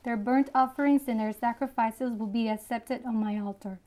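Mellow-voiced woman from the Dominican Republic. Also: fairly high pitch, steady tone, moderate pace. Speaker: panelist.

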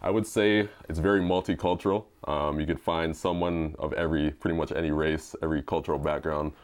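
A man from Canada with an extremely deep, low voice speaking on a discussion panel. He talks in a relaxed, thoughtful way, often pausing with fillers as he works out his points. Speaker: seminary student, panelist. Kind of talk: casual discussion.

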